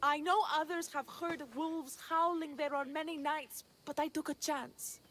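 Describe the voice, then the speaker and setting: An actress playing a devout, timid young shepherdess in a video game, halting and breathlessly reverent. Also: female voice, sits high, fairly even intonation, bright, forceful tone. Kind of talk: video game cutscene acting.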